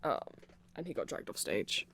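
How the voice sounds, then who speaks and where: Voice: moderate pace, a bit bright, dry recording. A person of unclear sex giving a rambling ASMR explanation of fan lore, often getting flustered, trailing off and correcting themselves with amused enthusiasm.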